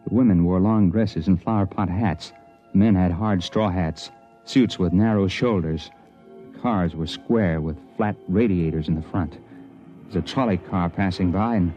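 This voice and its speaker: An actor playing a wary investigator in a 1950s radio drama, in a hard-boiled, uneasy manner. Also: male voice, fairly low pitch, dark tone, lightly acted. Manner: hard-boiled storytelling, uneasy protests when speaking. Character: wary, rattled male investigator narrating his own ordeal. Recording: muffled 1950s radio recording with music.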